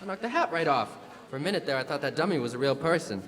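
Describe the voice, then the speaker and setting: An earnest, excitable teenage boy played by a male actor with a fairly high, bouncing voice. The radio-drama delivery echoes in a stage hall. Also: very fast. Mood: flustered. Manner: earnest, excitable, gee-whiz. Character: eager, flustered all-American teenage boy. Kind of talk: live classic radio drama acting.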